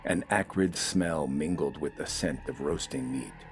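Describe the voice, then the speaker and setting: A man's voice: very deep voice, unhurried, soft background music. A man reading scripted, dramatic fiction as an audiobook narrator.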